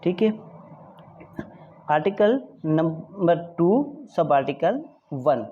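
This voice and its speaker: A man from Pakistan giving an exam prep law lecture. He repeats key points, mixes in English legal phrases and keeps asking if it is clear. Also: on the high side, expressive, slow pace, phone-quality sound. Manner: repeats points and checks understanding with "is it clear, dear?".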